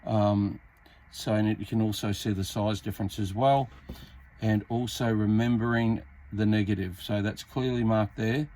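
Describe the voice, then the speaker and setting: An Australian man giving a casual hands-on repair tutorial, talking in a halting, unscripted, step-by-step way as he works. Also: lowish voice, steady tone, warm tone. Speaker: hobbyist demonstrating a radio repair. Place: indoors at a repair workbench. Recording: clearly noisy amateur workbench recording.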